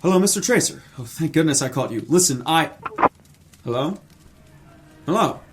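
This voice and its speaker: A man acting a kindly, fretful college professor in an audio drama, expressive and fatherly. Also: fairly high pitch, normal speed, background noise. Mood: frightened.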